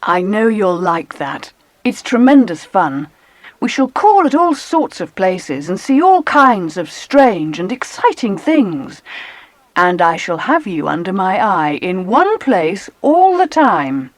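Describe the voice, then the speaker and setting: Firm cheery mum, very lively pitch, dry sound, kids' audio drama. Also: female voice, dry recording. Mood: cheerful, enthusiastic. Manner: brisk, instructing, motherly. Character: firm, cheerful mother taking children on holiday. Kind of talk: dramatised children's audiobook acting.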